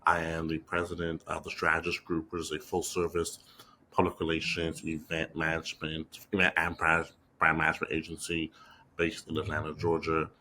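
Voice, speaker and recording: A young man from the Bahamas, a PR agency president, answering interview questions in a measured, reflective way with frequent fillers. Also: rumbling low, completely flat delivery, pushed and bright, slight background noise.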